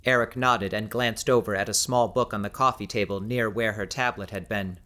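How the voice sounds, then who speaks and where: A man narrating scripted fiction as an audiobook narrator, in a measured, descriptive storytelling manner. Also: on the low side, fairly even intonation, pushed and bright.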